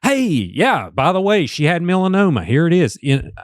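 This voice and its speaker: A man's voice: a little high, very animated, moderate pace, dry recording, studio quality. A man with an Appalachian accent, co-hosting a true-crime podcast, with wry, joking asides. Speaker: podcast co-host. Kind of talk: casual true-crime discussion.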